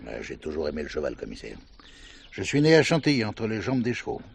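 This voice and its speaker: Gruff hot-headed ranch boss in a radio play, gravelly, swings up and down a lot. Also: male voice, deep voice, huge pitch swings, fast talker, exaggerated voice. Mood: wistful, wry. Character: gruff, quick-tempered horseman running a western-themed ranch. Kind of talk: French radio play acting.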